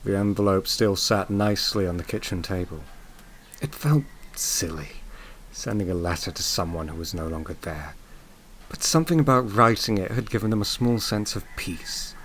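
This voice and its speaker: A man narrating a scripted audio drama as a solemn, gentle storyteller, measured, with dramatic pauses. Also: fairly low pitch, a little hiss or hum. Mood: hushed suspense. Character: solemn, gentle male storyteller of a grief tale.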